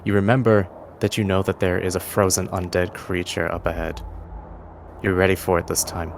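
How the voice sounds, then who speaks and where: A very low-voiced man narrates a fantasy game as its game master. His dramatic delivery is heavy with gravelly fry. Faint ambient noise sits under the voice. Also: little pitch movement, normal speed.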